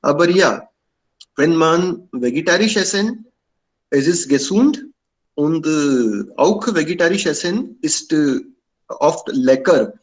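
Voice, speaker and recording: Slow, hesitant man from India. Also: fairly high pitch, animated, poor audio. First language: Indian language. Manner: halting, many fillers. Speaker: club member sharing views. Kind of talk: hesitant casual talk in learner German.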